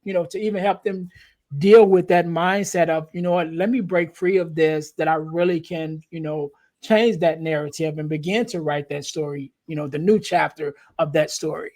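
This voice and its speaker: Podcast host, man in his late forties, lighter and higher voice than most men, easygoing and meandering. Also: fairly even intonation, moderate pace, darkish tone. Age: 40s. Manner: rambling, heavy on "you know". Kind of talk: casual interview chat.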